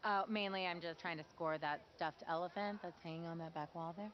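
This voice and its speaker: Woman as a low-voiced, playful flirt. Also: fairly even intonation, fairly quick, lo-fi sound, slight background noise, close to an everyday voice. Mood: teasing. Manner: wry, playful teasing. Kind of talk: naturalistic screen acting.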